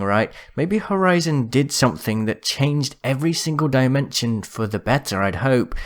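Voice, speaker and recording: A man hosting a gaming video, explaining lore in a conversational, speculative commentary style. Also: medium pitch.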